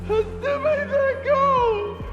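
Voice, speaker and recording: Self-loathing gentle soul, halting, slow, high male voice, reverberant hall, game drama. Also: lo-fi sound, over loud music. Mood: ashamed, distraught. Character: timid, guilt-ridden, self-loathing but selfless young man. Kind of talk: emotional game voice acting. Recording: echoey hall with long reverb.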